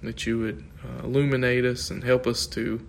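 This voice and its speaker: A man's voice: mid-range voice, little pitch movement, normal speed, creaky, a little hiss or hum. A man, losing his voice, teaching an informal Bible class in a conversational, thinking-aloud way and asking the class questions.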